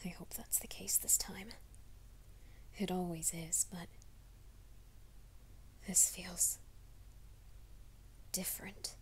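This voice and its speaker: A woman doing a young werewolf man, anxious, secretive, low voice, slow hushed whispering, ASMR roleplay. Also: level tone, low-quality recording. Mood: whispered panic. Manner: mostly hushed, urgent whispering to himself.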